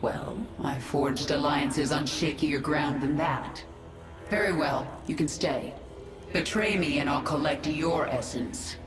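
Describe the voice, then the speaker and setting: A woman voicing a proud, menacing alien swarm queen in a video game, measured and commanding. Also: low voice, fairly even intonation. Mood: cool, threatening. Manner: measured, imperious. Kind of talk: video game cinematic acting. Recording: echoing voice over music.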